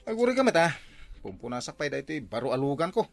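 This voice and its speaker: Animated, boastful car-proud man played by an actor. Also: a little high, fast talker, gravelly, dry recording. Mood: fussy. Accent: Ilocano. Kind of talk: Ilocano comedy drama acting.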